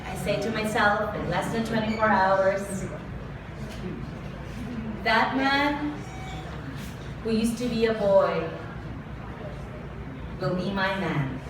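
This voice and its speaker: A woman's voice: medium pitch, slow pace, pauses often. A woman performing spoken word poetry live, with an intimate, dramatic delivery built on repeated refrains. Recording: roomy echo, far from mic, ambient noise.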